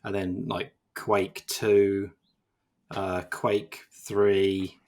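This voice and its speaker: A man's voice: deep voice, little pitch movement, extremely slow. A man co-hosting a casual games podcast, rambling and self-deprecating with lots of fillers. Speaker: games journalist co-host.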